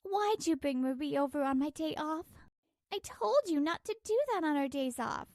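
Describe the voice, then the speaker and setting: Manga dub role: exasperated wife played by a woman, high, lively voice, dry close sound, expressive and aggrieved. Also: moderate pace, no echo. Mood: exasperated, reproachful. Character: exasperated working wife, narrating her marital troubles. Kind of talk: scripted manga dub narration and dialogue.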